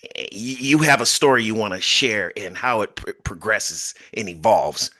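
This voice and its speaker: A man hosting a podcast interview, welcoming his guest in an effusive, formal way with drawn-out phrasing. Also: fairly low pitch, expressive, bright, forceful tone. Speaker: podcast host.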